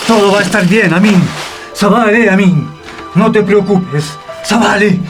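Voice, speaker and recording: Man playing Marco, high-voiced anxious fatherly friend. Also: fairly even intonation, normal speed, natural voice. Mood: panicked, soothing. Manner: exclamatory, pleading. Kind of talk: French fiction podcast acting. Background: music.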